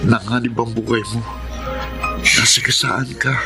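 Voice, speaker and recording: Creaky, earnest young man acted by a man. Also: medium pitch, fairly even intonation, measured pace, over music, close to an everyday voice. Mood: remorseful, tender. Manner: earnest, pleading. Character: emotional young man, remorseful brother and son. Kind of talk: Filipino radio drama acting.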